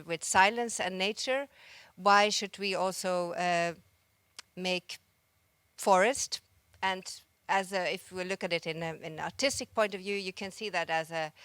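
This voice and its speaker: A Finnish-accented woman presents her art project at a conference, reflective and pausing to find her words. Her voice sits fairly low and sounds smooth and resonant. It is recorded close and dry, with little room sound. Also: fairly bright tone, no room sound. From Finland. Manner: reflective, searching for words with frequent restarts. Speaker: visual artist giving a conference talk. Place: conference hall stage.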